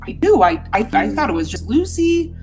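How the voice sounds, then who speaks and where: A woman's voice: on the high side, very animated, normal speed, a little mellow, soft background music. A woman from Utah telling a personal ghost story in an earnest, candid, reflective way.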